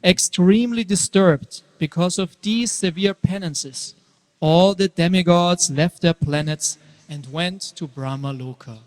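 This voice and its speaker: A man's voice: high voice, a little slow, darkish tone. A man narrating a scripted mythological story for a dramatic stage reading. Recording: reverberant hall.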